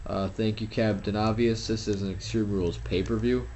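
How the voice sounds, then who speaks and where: Rambling young man, low voice. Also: level tone, moderate pace, dark tone, lo-fi sound, a little hiss or hum. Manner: rambling, self-deprecating, frequent fillers and restarts. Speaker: gameplay commentator. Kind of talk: casual solo game commentary.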